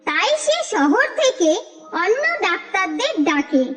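Woman narrating a cartoon story, very high lively voice, long reverb and faint music. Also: measured pace. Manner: steady, expressive storytelling.

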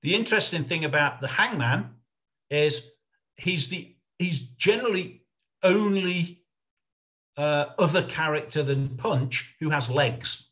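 A middle-aged man giving an informal illustrated history lecture over a video call, explaining in a halting, hesitant way. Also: fairly high pitch, a bit bright. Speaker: lecturer, researcher presenting a talk.

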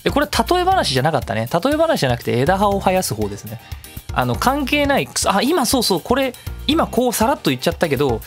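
Fast, animated high-voiced man hosting radio. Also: faint music behind. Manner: chatty, digressive, explains at length with self-mocking asides. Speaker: radio show host. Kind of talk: casual solo radio talk.

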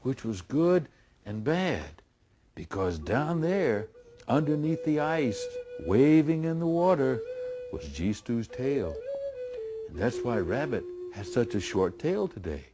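A middle-aged man telling a folk tale in a folksy, deliberate way with dramatic pauses. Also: medium pitch, big pitch swings, over music. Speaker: storyteller. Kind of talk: oral folk tale storytelling.

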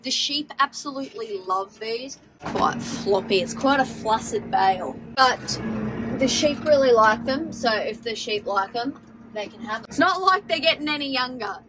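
Young Australian woman, fairly high pitch, casual and wry, swears freely, phone-filmed with clear wind and engine noise behind. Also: animated, moderate pace. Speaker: farm vlogger. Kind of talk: casual vlog chat. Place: car, tractor cab and open paddocks on a windy farm. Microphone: phone camera held at arm's length.

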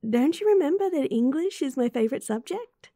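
A woman with an Australian accent playing a caring, teasing young student best friend in a roleplay audio, soft and breathy. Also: high voice, lively intonation, a little fast, no room sound, clean studio sound. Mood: playful. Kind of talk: roleplay audio acting, intimate and softly spoken.

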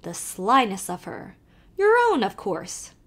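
Storybook part: a woman playing a jolly old Irish peddler man, sly brogue, lively swooping delivery. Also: mid-range voice, slow, full character voice. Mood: teasing. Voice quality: thick brogue, sly, teasing.

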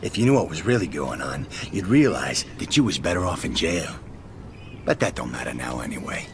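Game villain: cocky American gangster, taunting, wide swinging pitch, noisy ambience. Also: male voice, sing-song, fairly quick. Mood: sneering menace. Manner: taunting, foul-mouthed street talk. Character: menacing, sneering mobster, cruel and cocky. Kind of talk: video game cutscene acting. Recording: clear background noise, rumbling room ambience.

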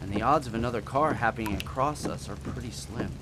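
An actor playing a wisecracking, upbeat young husband on honeymoon, in old-time radio drama style. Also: male voice, medium pitch, fast, very noisy, natural voice. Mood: upbeat, polite. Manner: jaunty, polite, wry.

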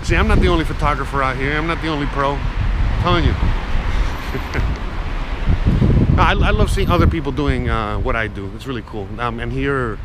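A man's voice: mid-range voice, normal speed, pauses often. Chatty man vlogging on a noisy street. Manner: rambling and chatty, frequent fillers. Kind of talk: casual walking vlog. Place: outdoors on a sunny city street. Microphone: action camera held at arm's length. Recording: loud street and wind noise.

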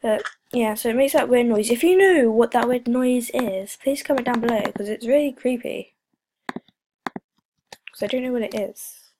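Teenage girl, casual gameplay chatter, slightly high-pitched, stuffy from a cold, rambling, whispery asides, noisy computer mic. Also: moderate pace. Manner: rambling, many fillers, drops to whispers. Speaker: gaming channel host. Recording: noisy home recording with clicks.

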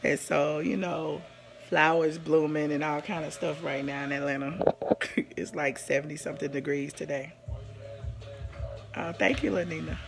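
A hoarse woman in her forties, chatting casually on a live stream from her living room, rambling and greeting viewers by name, with a phone held at arm's length. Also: low voice, fairly even intonation, moderate pace, long pauses, over music. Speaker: livestream host. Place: living room at home, indoors.

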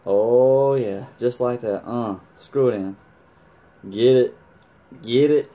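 A man's voice: mid-range voice, slow, mellow. A man giving a casual, hands-on vlog tutorial, talking colloquially with playful sound-effect interjections. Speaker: motorcycle vlogger demonstrating gear.

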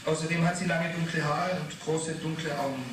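A male actor plays an irritable man being questioned in a 1980s radio drama. He explains himself in a guarded, testy way, his voice a touch high. The sound is distant and heavily echoed. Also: steady tone, fairly quick, noisy background, lightly acted. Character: defensive, irritable male club member under questioning. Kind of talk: 1980s German radio play acting.

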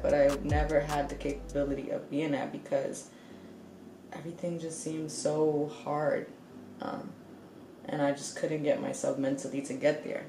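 A woman's voice: low voice, roomy sound. A woman in her twenties talking casually to the camera while doing her makeup, a little congested, with plenty of "like" and "you know.". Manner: casual and candid, many "like" and "you know" fillers. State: slightly congested. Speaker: beauty vlogger. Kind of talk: chatty get-ready-with-me vlog. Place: small room with shelves, indoors.

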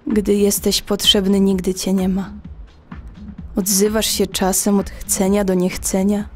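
A woman's voice: mid-range voice, completely flat delivery, a little slow, faint music behind, lightly acted. An actress playing a hurt young woman pleading with a distant loved one, reciting poetry emotively in an audio drama. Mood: wounded, reproachful.

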